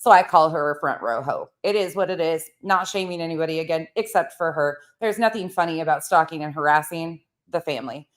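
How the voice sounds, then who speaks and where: A woman's voice: low voice, fairly quick, hardly any pauses. A woman hosting reaction commentary, sarcastic and profane, with theatrical, mocking delivery. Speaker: commentary channel host.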